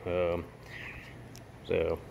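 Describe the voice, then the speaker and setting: A man's voice: low-pitched, monotone, drawn-out words, vocal fry, heavy background noise. A man giving a rambling, informal walkaround tour of his home garage workshop, speaking into a handheld camera. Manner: rambling, informal, with fillers and restarts. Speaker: garage vlogger.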